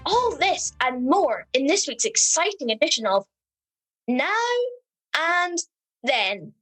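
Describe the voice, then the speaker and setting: A woman's voice: sits high, lively intonation, takes it slowly, a bit bright, soft background music, full character voice, rough recording. A woman playing an eager, dramatic news correspondent announcing in a scripted radio comedy.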